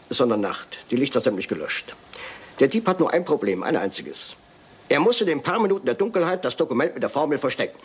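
An actor playing a shrewd, self-assured, pedantic detective in a German radio crime drama, speaking in a deliberate, theatrical way. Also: male voice, medium pitch, a little hiss or hum, natural voice. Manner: theatrical, deliberate, addressing and coaxing others.

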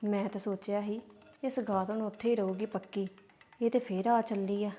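An actress with a Punjabi accent playing a young sister-in-law stuck in a family quarrel. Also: female voice, mid-range voice, fairly even intonation, moderate pace, mellow, close to an everyday voice. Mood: scheming. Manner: pleading, placating.

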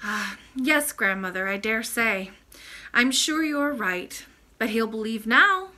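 Woman voicing an earnest, high-pitched little princess. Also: expressive, a little slow, pushed and bright. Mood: eager. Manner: pleading, indignant, exclaiming. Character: emotional, earnest young princess, a little girl.

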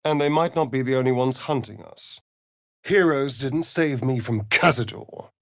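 A man's voice: mid-range voice, normal speed, a bit bright, dry recording, studio quality, lightly acted. A man with an English accent playing a vain, sardonic vampire rogue in a video game, with an arch, mocking, drawling delivery. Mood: cold, vengeful. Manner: arch, drawling, mocking, swinging between purr and outburst. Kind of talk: video game character voice lines.

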